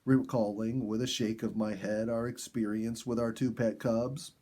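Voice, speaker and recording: A man reading a story aloud in an expressive, measured storybook narration style. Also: fairly low pitch. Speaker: audiobook reader and host.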